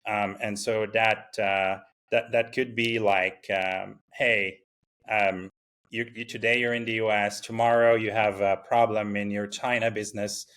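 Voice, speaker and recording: A founder from Morocco talking casually in an interview, full of fillers and restarts. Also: male voice, fairly low pitch, little pitch movement, fairly bright tone. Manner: halting, many fillers and restarts. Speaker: startup founder, guest.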